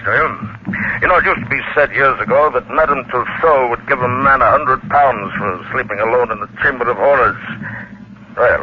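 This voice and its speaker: A man's voice: mid-range voice, natural voice. Man playing a formal, businesslike waxworks manager. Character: brisk, cautious, businesslike male waxworks manager. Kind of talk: 1940s radio drama acting. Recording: muffled, noisy old radio recording.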